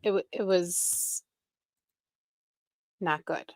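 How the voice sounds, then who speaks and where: A woman streams her reaction to a video in a low, slightly gravelly voice. She talks slowly, with wry, critical asides. Her mic sounds close and dry. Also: fairly even intonation, no room sound. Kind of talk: casual reaction commentary.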